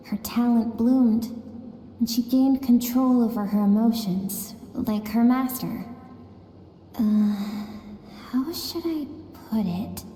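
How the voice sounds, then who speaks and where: An actress playing a gentle, wistful female storyteller in a video game, narrating softly with echoing reverb. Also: mid-range voice, a little hiss or hum, lightly acted. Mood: somber, reflective. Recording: echoing, long reverb over music.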